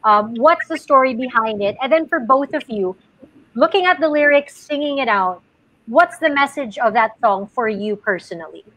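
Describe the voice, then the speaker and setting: Lively high-voiced woman radio host. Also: moderate pace, no echo, poor audio. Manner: upbeat, teasing, reads fan questions. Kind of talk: radio show hosting.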